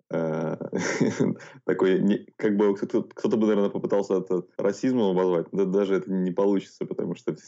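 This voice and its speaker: A man in casual podcast conversation, speaking haltingly and searching for words as he goes. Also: low voice, mellow. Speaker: podcast co-host. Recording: dull, muffled lo-fi audio.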